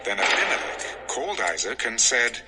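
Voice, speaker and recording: Expressive male scripture narrator with lively intonation. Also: medium pitch, normal speed. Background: music.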